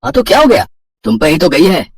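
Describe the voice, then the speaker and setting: A man's voice: fairly high pitch, huge pitch swings, fairly quick, husky, recorded from a distance, heavily acted. A man voicing a teasing, doting middle-aged father in a Hindi cartoon story. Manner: playful, teasing.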